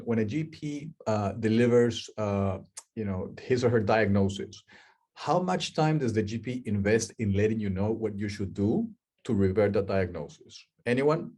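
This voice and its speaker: A man's voice: fairly low pitch, a little mellow. Peruvian-accented man on a video call. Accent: Peruvian Spanish. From Peru. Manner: chatty, asks the audience questions, many restarts. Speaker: guest speaker, life coach. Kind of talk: webinar talk.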